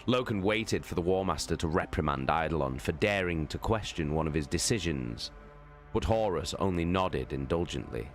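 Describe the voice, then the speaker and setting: A man giving a measured, deliberate, dramatic narration of a science fiction novel. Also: sits low, expressive, soft background music. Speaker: narrator, streamer.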